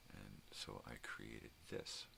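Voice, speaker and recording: An older man with a very deep, gravelly voice chats casually in a rambling, drawn-out way, as a vlog host. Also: background noise. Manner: rambling, drawn-out, mild swearing.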